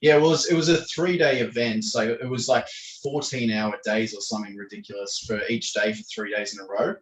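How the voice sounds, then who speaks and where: A man, his voice croaky as he keeps clearing his throat. He talks in loose, meandering answers full of fillers and restarts. He sits at some distance from the microphone, and the room around him rings. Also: on the low side, steady tone, a little fast. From Australia. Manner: rambling, hesitant, many fillers and restarts. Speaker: podcast guest. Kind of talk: casual interview answers. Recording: echoey room, distant from the mic.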